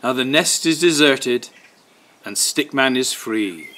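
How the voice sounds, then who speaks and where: A man in his sixties reads a children's story outdoors. He goes slowly, pausing between phrases, with lively rises and falls in pitch. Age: 60s. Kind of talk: expressive picture-book reading to young children. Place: outdoors in a garden by wooden fencing.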